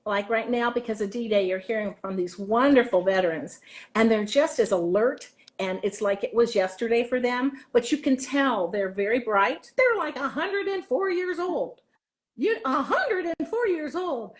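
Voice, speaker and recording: A woman's voice: a little high, expressive, moderate pace. An American woman speaking as an interview guest and theater teacher, telling anecdotes conversationally and acting out remarks.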